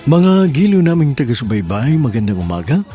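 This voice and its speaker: A man's voice: medium pitch, big pitch swings, brisk, dark tone, soft background music. A man hosting and narrating a radio drama, speaking formally, earnestly and politely.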